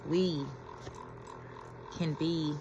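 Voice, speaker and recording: A woman's voice: low-pitched, fairly even intonation, very slow, noisy background. A woman giving a reflective inspirational talk as a spiritual healing coach, repeating key phrases and laughing as she retells a story.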